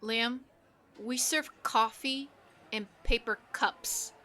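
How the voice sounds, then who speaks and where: A woman playing a pushy, playful young barista in an audio drama, with a teasing and expressive delivery. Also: fairly high pitch, slow pace. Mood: mock-helpful. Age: young adult.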